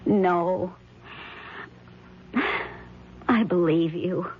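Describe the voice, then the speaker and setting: An actress playing an anxious but determined wife, halting and earnest, in a radio mystery drama. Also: female voice, lowish voice, fairly even intonation. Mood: distressed. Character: anxious, determined wife who suspects her husband. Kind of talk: 1970s radio mystery acting. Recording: dull, faintly noisy radio recording.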